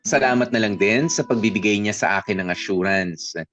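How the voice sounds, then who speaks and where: A man's voice: on the low side, normal speed, fairly bright tone, faint music behind, lightly acted. A man acting a jealous, lovestruck young Filipino who narrates his confession hesitantly in a radio drama, in Taglish. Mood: reflective. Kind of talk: first-person letter narration in radio drama.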